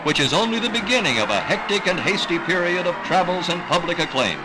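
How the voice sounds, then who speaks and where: An American man reading scripted 1960s newsreel narration in a dramatic, measured announcer delivery. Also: high-pitched, animated, very noisy. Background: music.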